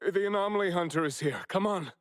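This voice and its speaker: An actor playing a distraught, worried father in a video game, speaking in a halting, pleading way. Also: high-pitched, no echo, studio quality, natural voice. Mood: anxious, weary. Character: distraught, anxious father of a missing son.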